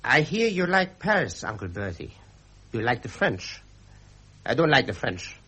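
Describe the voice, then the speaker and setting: An actor playing an arrogant young Prussian prince, boastful, stiff and goading, in period radio drama style. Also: male voice, medium pitch, big pitch swings, measured pace, a bit bright, slightly rough, full character voice. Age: young adult. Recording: muffled vintage radio recording.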